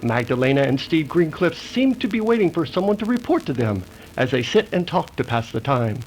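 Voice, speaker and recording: A man's voice: a little high, very animated, a little fast, soft background music, low-quality recording. A man acting as the dramatic narrator of a western serial, in 1930s radio style. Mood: suspenseful.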